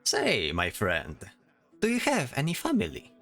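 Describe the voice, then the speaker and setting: A man playing a chatty, fussy, worrying bard with an expressive way of talking in a fantasy audio drama. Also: mid-range voice, a little slow, faint music behind, full character voice. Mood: thoughtful, gentle. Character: chatty, complaining, worrying bard disguised in knight's armor.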